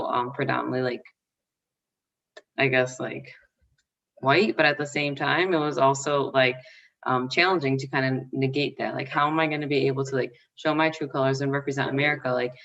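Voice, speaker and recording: Low-voiced woman, distant dull mic. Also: a bit bright. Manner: chatty, laughs often, many "like" fillers. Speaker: panelist. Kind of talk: casual panel chat. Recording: dull sound, mic far off.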